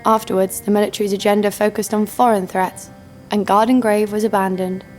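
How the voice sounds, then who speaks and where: A woman's voice: medium pitch, level tone, a little fast, darkish tone, faint music behind, close to an everyday voice. Tough, sardonic woman bounty hunter, acted out. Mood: grim. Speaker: lead actor and narrator. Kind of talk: scripted audio drama narration.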